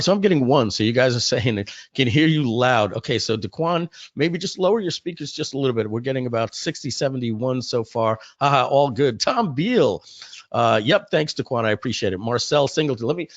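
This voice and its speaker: A man with a slightly hoarse voice, chatting informally and directly to his audience as he hosts a live online webinar. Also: mid-range voice, lively intonation, fairly quick, dry recording. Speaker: company founder hosting a webinar. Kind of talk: casual live webinar chat. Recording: muffled, close dry webinar audio.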